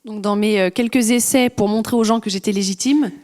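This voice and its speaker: A woman interpreting a sermon live, sentence by sentence, in a relaxed way with frequent "euh" fillers. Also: medium pitch, fairly quick.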